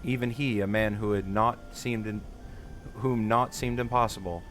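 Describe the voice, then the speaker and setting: An earnest young fantasy adventuress, read by a man in his low voice. The speech is formal and old-fashioned, full of determination. There is noise behind the game dialogue. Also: steady tone, moderate pace, a bit bright, lightly acted. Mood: remorseful, hopeful.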